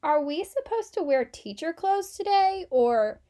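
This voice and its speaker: A woman puts on a cheeky grade-school child from a storybook. The voice is high and eager, pushing each question and idea forward. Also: animated, a little slow, mellow. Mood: indignant surprise.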